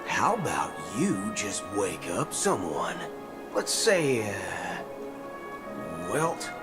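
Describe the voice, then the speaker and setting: Brash, low-voiced, swooping young traveler. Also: male voice, wildly swooping pitch, very slow, exaggerated voice. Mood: impatient, exasperated. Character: brash young male traveler fishing for clues, casual and impatient. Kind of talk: animated video game voice acting. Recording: loud game music underneath.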